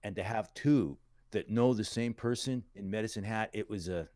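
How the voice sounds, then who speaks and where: A middle-aged man from Calgary speaks in a low voice and tells stories easily as a guest. He is folksy and plain-spoken. The sound is dry and close. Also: normal speed, dark tone, no room sound. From Calgary, Alberta, Canada. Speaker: guest, musician. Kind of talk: casual interview storytelling.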